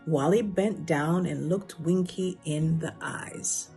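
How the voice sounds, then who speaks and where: A woman narrating a children's storybook in a measured read-aloud manner. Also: low-pitched, dark tone, faint music behind.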